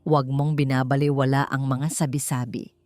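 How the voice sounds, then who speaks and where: A woman playing a worried elderly lady with a Filipino accent who gives soft, grave warnings in an audiobook. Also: rumbling low, flat delivery, moderate pace, faint music behind, pitched below the performer's own voice. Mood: ominous, hushed.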